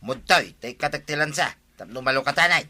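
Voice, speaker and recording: An actor playing an excitable, insistent husband in a regional radio comedy drama, with music behind him. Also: male voice, fairly high pitch, a little fast, a little hiss or hum. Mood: insistent, excited. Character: excitable husband eager to buy a tricycle.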